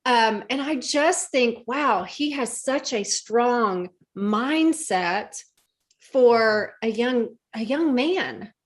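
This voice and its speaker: A woman's voice: on the high side, expressive, very slow. A woman hosting an interview podcast, speaking in an effusive, praising way. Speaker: podcast host.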